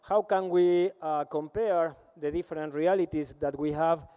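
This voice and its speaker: A man in his fifties with an Argentine Spanish accent speaks at a conference, his voice mellow, smooth and slightly high. He talks in a halting, friendly way, restarting phrases and repeating words. He is heard through the PA of a large hall. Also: fairly even intonation. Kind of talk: conference presentation.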